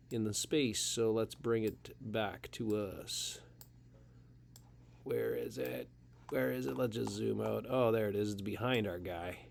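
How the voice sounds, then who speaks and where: A man's voice: mid-range voice, gravelly. A man with a slight head cold, casually talking through a programming tutorial at his computer, with plenty of fillers along the way. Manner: relaxed, talks through steps with fillers. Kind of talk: casual screen-recorded coding tutorial.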